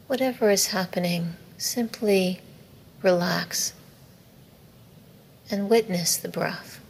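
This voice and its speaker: A woman teaching a guided meditation in a soothing, measured, instructive way, with soft, breathy phrase endings. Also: on the low side, low-quality recording. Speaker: yoga nidra meditation teacher. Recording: faint background noise.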